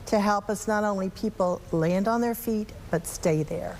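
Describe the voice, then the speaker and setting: A woman interview guest with a medium-pitched voice explains programs like a practiced executive. Her delivery is articulate and orderly, with faint ambience behind it. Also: moderate pace, darkish tone, slight background noise. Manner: articulate, organized, polished. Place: TV studio.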